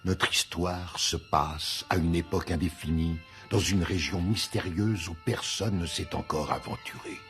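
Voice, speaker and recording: An actor as a solemn storyteller, narrating a scripted mysterious tale. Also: male voice, low voice, steady tone, unhurried, hardly any pauses, fairly bright tone. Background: music.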